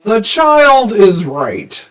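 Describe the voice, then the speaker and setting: A woman voicing a stern, formal male monster judge in a children's storybook, speaking in a pompous, deliberate way. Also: mid-range voice, huge pitch swings, full character voice. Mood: solemn, formal.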